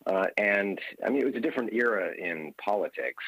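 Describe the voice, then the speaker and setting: A man in his fifties from Hawaii, a guest author telling stories in an earnest, measured way on a radio interview. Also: fairly low pitch, animated, a bit bright, no room sound, lo-fi sound.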